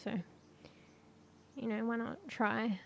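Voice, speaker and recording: A woman streamer chatting casually with her viewers, rambling with lots of fillers and the odd swear, her voice going a bit hoarse. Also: a little high, little pitch movement, takes it slowly, a little hiss or hum. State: losing her voice, coughing. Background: music.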